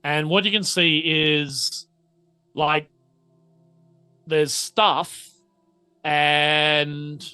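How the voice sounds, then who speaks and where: A man's voice: a little high, little pitch movement, extremely slow, pauses often, bright, forceful tone, clear, resonant tone, soft background music. A man speaking casually as game master, improvising narration in a hesitant, self-correcting way.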